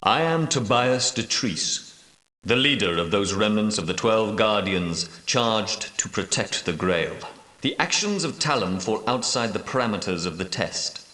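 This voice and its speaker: An actor playing an ancient, solemn leader of holy knights in a video game, speaking gravely and formally, with portentous weight. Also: male voice, on the low side, animated, unhurried, pushed and bright. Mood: formal, authoritative. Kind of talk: video game dramatic dialogue. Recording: roomy echo, distant from mic.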